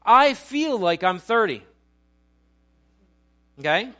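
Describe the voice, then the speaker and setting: A man's voice: high-pitched, big pitch swings, slow pace, sharp tone. A man in his forties preaching a sermon in a church auditorium, talking in a conversational, joking way and quizzing the congregation. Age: 40s. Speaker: pastor.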